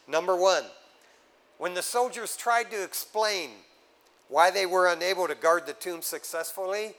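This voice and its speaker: A man preaching a teaching sermon, walking his congregation through scripture point by point. His voice sits a little high for a man, and he talks in an animated, conversational way, tossing in casual asides to keep his listeners with him. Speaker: pastor.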